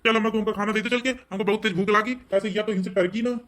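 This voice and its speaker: A man's voice: high-pitched, steady tone, quick pace, fairly bright tone. A man voicing a whiny, put-upon, hungry village husband in a comic cartoon, with an Awadhi Hindi accent. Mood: grumbling. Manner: grumbling, complaining.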